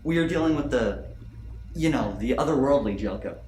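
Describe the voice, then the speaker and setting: In an echoey room with faint music, a man plays a wisecracking scientist in a radio play. He is low-voiced, dry and teasing with his colleagues. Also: animated, normal speed, a little mellow, lightly acted. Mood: joking. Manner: dry, joking.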